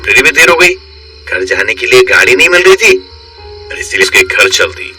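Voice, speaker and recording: A man's voice: medium pitch, very animated, normal speed. A man reading scripted horror stories as a dramatic narrator. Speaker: story narrator. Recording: loud music bed, slightly distant voice.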